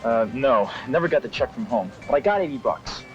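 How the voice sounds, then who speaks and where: A man's voice: mid-range voice, animated, fairly quick, close to an everyday voice. A man with an American accent playing a defensive, pleading drug buyer in a 1970s training film. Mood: pleading, grumbling. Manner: defensive, casual street talk. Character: undercover buyer posing as a pleading, short-of-cash drug customer. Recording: dull 1970s film sound with music.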